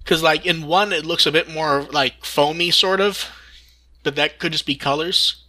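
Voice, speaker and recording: A man's voice: fairly high pitch, steady tone, normal speed, sharp tone. A man hosting a casual fan news discussion, talking in an enthusiastic, rambling way and occasionally swearing. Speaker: podcast host.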